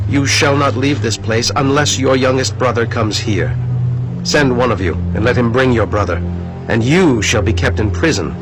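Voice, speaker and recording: A man playing a stern, authoritative governor of Egypt in a dramatized audio Bible, speaking deliberately. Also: medium pitch, lightly acted, rough recording. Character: stern commanding governor of Egypt interrogating his brothers. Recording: music under the voice.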